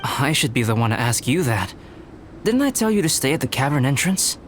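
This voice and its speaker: An actor playing a calm, analytical young scholar in a video game, speaking in a measured, formal, polite and detached way. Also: male voice, medium pitch, background noise, natural voice. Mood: composed.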